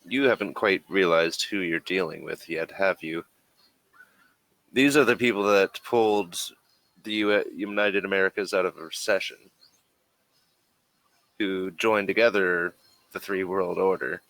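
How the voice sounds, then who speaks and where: A man voicing a persuasive, condescending corporate agent in a tabletop role-playing game, with measured, probing speech. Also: low-pitched, a bit bright, no room sound, poor audio.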